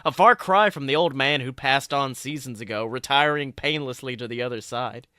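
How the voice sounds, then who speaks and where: A man reading a story aloud expressively, with an animated delivery. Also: mid-range voice, normal speed, clean tone, dry recording, studio quality. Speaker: narrator reading fan fiction.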